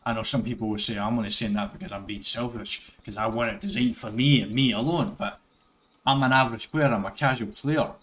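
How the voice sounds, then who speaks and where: A man's voice: medium pitch, steady tone, fairly quick, fairly bright tone. Rambling Scottish man, gaming commentary. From Scotland. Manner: rambling, self-correcting, chuckles at himself. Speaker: gaming YouTuber. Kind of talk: casual commentary over gameplay.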